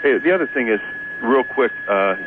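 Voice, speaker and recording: A man calling in to talk radio, chatting about politics in a halting, repetitive and emphatic way. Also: medium pitch, lively intonation. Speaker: radio call-in guest. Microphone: telephone. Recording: tinny phone line, noisy hum, radio aircheck.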